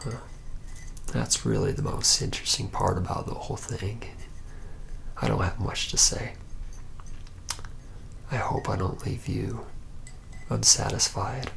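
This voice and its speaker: A man playing a reluctant, weary young vampire in an ASMR roleplay, speaking hesitantly in a breathy, gravelly whisper with many pauses. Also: lowish voice, steady tone, fairly bright tone, slight background noise, exaggerated voice. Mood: resigned, weary. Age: young adult. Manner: hesitant, halting, apologetic, full of pauses.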